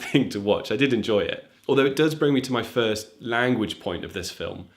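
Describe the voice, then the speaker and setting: A man's voice: lowish voice, a bit bright. A young man, a native English speaker, casually reviewing a film for German learners, thoughtful and chatty with frequent ums. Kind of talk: casual talking-to-camera review.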